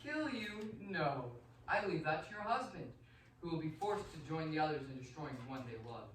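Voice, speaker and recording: An actor playing an imperious, seductive, vengeful vampire count in a radio play, formal, theatrical and commanding. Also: male voice, on the high side, animated, moderate pace, fairly bright tone. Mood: silky menace. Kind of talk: amateur radio play acting. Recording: roomy, distant, faintly noisy stage recording.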